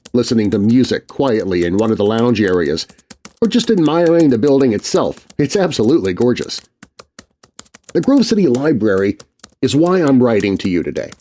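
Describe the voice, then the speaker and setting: A man narrating a scary children's story aloud, reading expressively and dropping to a hush at tense moments. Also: medium pitch.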